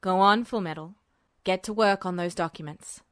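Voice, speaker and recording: A woman voicing a composed male officer who speaks in a measured, restrained way. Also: on the low side, fairly bright tone, clear, resonant tone, no echo, pitched below the performer's own voice, lightly acted. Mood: dry.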